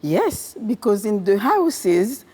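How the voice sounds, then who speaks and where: A woman's voice: medium pitch, big pitch swings, takes it slowly, warm tone, breathy edge. Haitian-born woman, reverberant hall. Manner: earnest, repeats phrases in lists. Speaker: guest, physician and farmer. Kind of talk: conversational interview answers.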